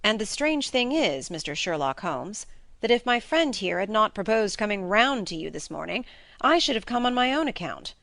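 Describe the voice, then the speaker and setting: Woman acting sturdy young gentleman, blunt, emphatic, very animated intonation, audiobook character, dry close sound. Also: mid-range voice, normal speed, gravelly, no room sound. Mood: brisk, animated. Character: brisk, sturdy young baronet, blunt and puzzled.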